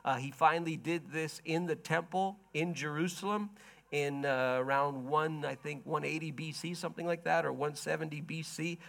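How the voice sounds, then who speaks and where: Hoarse male preacher, gravelly and slightly high, measured storytelling delivery for a Bible lesson. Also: steady tone, fairly bright tone. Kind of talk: sermon-style Bible history lesson.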